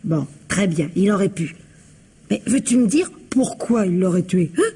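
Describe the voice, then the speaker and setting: Husky, mellow sceptical confidante. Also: female voice, mid-range voice, lively intonation, moderate pace. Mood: curious, sceptical. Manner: familiar, challenging, questioning. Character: inquisitive, sceptical female confidante of a detective. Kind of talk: French radio mystery drama acting.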